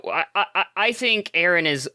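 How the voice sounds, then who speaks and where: A man talks as a player in a tabletop game. His voice is bright and animated, with a wide swing in pitch. He often stammers and restarts, and the recording is dry and close. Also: normal speed, clean tone, no room sound. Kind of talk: casual tabletop play.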